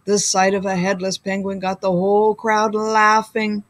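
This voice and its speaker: A woman reading a children's story aloud like a school librarian, with deliberate, expressive delivery. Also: medium pitch.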